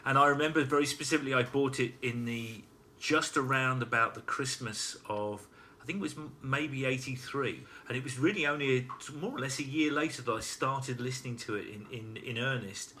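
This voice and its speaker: A man's voice: mid-range voice, a little fast, bright, forceful tone, a little hiss or hum. A man on a podcast panel, recalling details carefully with small hesitations. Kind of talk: casual chat.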